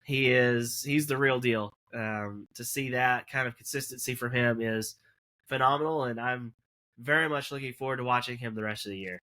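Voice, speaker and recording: A man's voice: medium pitch, moderate pace, sharp tone. A man co-hosting a casual college sports podcast, rambling through numbers and correcting himself as he goes. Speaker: podcast co-host.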